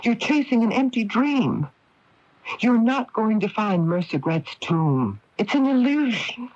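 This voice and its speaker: An actress playing an archaeologist's candid, skeptical wife in a radio drama, pleading and direct. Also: female voice, on the high side, animated, unhurried, darkish tone. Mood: pleading, blunt. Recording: muffled old AM radio broadcast.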